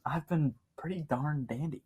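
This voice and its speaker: A teenage boy guesting on a podcast, soft-spoken and relaxed, rambling easily and laughing often. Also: on the high side, normal speed, a crowd in the background. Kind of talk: casual chat. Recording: amateur home podcast mic.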